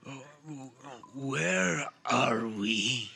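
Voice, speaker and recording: A man voicing a weary captive lizardfolk uncle in a tabletop game, faltering in a breathy half-whisper. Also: medium pitch, lively intonation, extremely slow, pushed and bright, exaggerated voice. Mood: dazed, weary.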